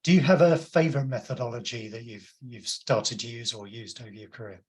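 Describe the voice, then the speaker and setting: A man's voice: mid-range voice, a little fast, slightly rough. Guy hosting an interview, friendly questions, some ums and uhs. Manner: friendly, with filler hesitations. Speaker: host and company founder. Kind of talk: interview host.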